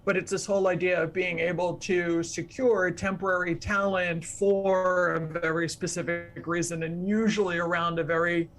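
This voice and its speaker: A woman's voice: fairly low pitch, little pitch movement, normal speed, few pauses, faint music behind. A woman speaking as an expert guest, explaining things step by step and defining her terms as she goes. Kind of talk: explanatory interview talk.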